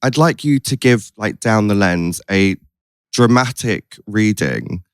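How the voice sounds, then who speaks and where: A man's voice: lowish voice, expressive, slow pace, clear, resonant tone, no room sound, clean studio sound. A man hosting a podcast in casual, effusive chat, peppering his talk with "like". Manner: effusive, gushing, frequent "like". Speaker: podcast host.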